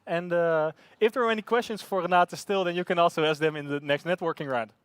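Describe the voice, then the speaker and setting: A man's voice: fairly high pitch, fast, a bit bright, clear, resonant tone, no echo. Upbeat man hosting, dry sound. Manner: upbeat, hesitant with many fillers. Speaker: event host. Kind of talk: live event hosting.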